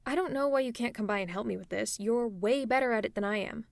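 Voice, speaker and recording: A tough female supervillain with a soft spot, acted by a woman in an ASMR roleplay. Her voice is fairly high and recorded close and dry. She talks in a conversational way, reassuring with a gently teasing edge. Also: fast, gravelly, no room sound. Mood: exasperated. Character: tough, caring female supervillain tending an injured hero. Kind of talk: ASMR roleplay audio drama.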